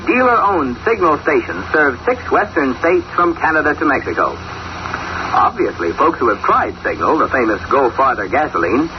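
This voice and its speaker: A man announcing a scripted 1940s radio commercial with polished, emphatic salesmanship. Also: fairly high pitch. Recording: dull, noisy vintage radio recording.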